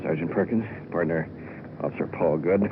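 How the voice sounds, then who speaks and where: A man reporting live from a police patrol, narrating what he sees with low-key, wry asides. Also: a little fast. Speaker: radio reporter riding along with police. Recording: tinny, noisy old radio recording.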